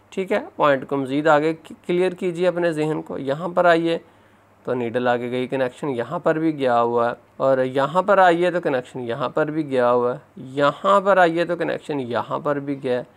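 A man's voice: on the high side, vocal fry. A man narrating a hands-on repair tutorial step by step, often addressing viewers as friends. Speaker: phone repair instructor.

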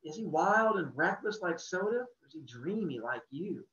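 A man doing a curious, gentle teenage girl. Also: high-pitched, sing-song, moderate pace, roomy sound, far from the mic, lo-fi sound, voice pushed up.

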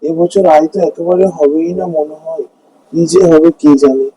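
A man's voice: a little high, fairly even intonation, moderate pace, mellow, breathy edge, dry recording. A man voicing a kind, hard-working poor farmer in a cartoon fairy tale, speaking in an earnest, coaxing way. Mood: weary, worried. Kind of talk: Bengali animated fairy-tale dialogue.

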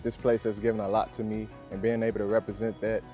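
A young man from Louisiana with a low, mellow voice, speaking confidently and upbeat. He is interviewed outdoors in a garden. Faint music plays underneath. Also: level tone, fast talker. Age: young adult. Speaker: homecoming king.

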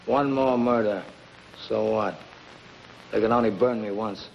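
An actor playing a terse, cold-blooded gangster on the run in a hard-boiled 1950s television crime drama. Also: male voice, lowish voice, fairly even intonation, measured pace, vocal fry. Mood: cold, ruthless. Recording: muffled, hissy 1950s TV soundtrack.

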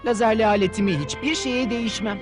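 A woman's voice: on the high side, quick pace, natural voice. A woman voicing a plucky, earnest young rich boy hero in a dubbed cartoon. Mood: heroic. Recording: music behind the voice.

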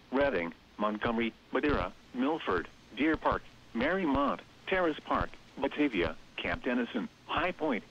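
A synthetic male announcer reads emergency weather bulletins in a measured, impersonal delivery. His mid-pitched voice is slightly husky and grainy. It comes through a dull, lo-fi weather radio broadcast.

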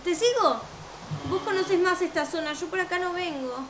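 High-voiced young Argentine-sounding woman. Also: normal speed, reverberant, background noise. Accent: Rioplatense Spanish. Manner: expressive, asks many questions. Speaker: video game streamer. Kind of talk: improvised gameplay roleplay chat. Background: music.

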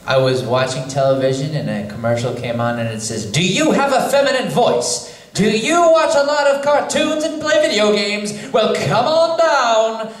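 A man's voice: high voice, animated, moderate pace. A man joking his way through his career story at a convention panel. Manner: joking, playful. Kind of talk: casual panel talk. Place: large convention hall. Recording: echoey hall, voice from far.

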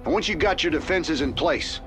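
An actor playing a wry, gruff middle-aged space-marine commander in a game, with informal, folksy soldier talk. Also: male voice, on the high side, animated, normal speed, roomy sound, natural voice. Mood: confident. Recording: loud dramatic music behind the voice.

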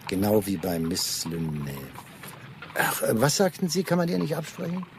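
A musing police boss in an audio drama, lowish voice, faint ride noise. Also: male voice, very animated, normal speed, vocal fry. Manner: musing, questioning. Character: musing, inquisitive senior police inspector. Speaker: actor in a radio play. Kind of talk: German radio drama acting. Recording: faint vehicle ambience behind. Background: faint moving-vehicle sounds.

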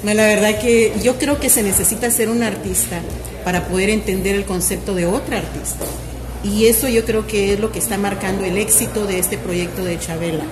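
A woman's voice: mid-range voice, fairly quick, reverberant, a crowd in the background, low-quality recording. A woman host interviewing a guest, encouraging and effusive. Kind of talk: interview questions.

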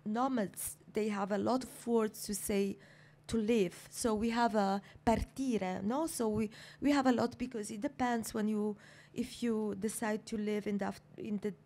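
A middle-aged woman from Somalia, speaking on a writers' panel with a handheld mic, thoughtful and halting with many fillers. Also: medium pitch, steady tone, dark tone. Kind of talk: reflective panel talk. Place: seated on a couch in a lecture room.

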